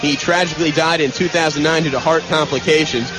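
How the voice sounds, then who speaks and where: A man's voice: on the high side, fairly even intonation, fairly quick, over music. A man doing live play-by-play basketball commentary for radio, with a clipped delivery. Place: live from a college basketball arena. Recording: noisy arena radio broadcast.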